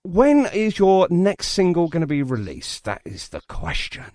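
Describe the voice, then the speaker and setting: A man's voice: high voice, wildly swooping pitch, a little slow, a little mellow, dry recording, clean studio sound. A middle-aged man hosting a chatty music interview, talking in an enthusiastic, rambling way. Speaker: radio and podcast host.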